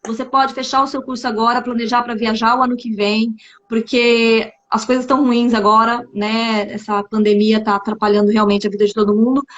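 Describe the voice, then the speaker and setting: A high, bright-voiced woman hosts a live chat for a study-abroad agency, talking in an upbeat, chatty way. She keeps the conversation going with little check-ins. The audio is a bit dull and lo-fi, like a video call stream. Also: level tone, a little fast. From Brazil. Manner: chatty and upbeat, often asking "né?". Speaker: exchange agency host.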